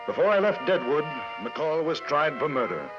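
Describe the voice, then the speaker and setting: An actor playing an urbane English newspaper correspondent in the Wild West, measured and articulate, in a 1950s radio drama. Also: male voice, a little high, sing-song, over music. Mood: grave, measured. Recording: muffled, band-limited vintage radio broadcast.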